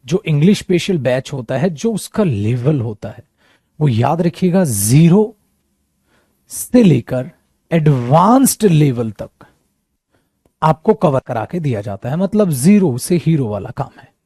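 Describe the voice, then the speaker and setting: A man teaching an English coaching class for competitive exams, talking to students as "beta" and "mere dost" and acting out their doubts in a lively way. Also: fairly high pitch, a little slow, slightly rough, no room sound, studio quality.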